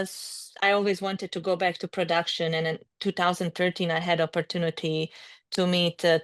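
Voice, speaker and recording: A woman from Bosnia with a Bosnian accent, a winemaker guest speaking friendly, slightly halting English on a video call. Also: mid-range voice, fairly bright tone, smooth, no echo.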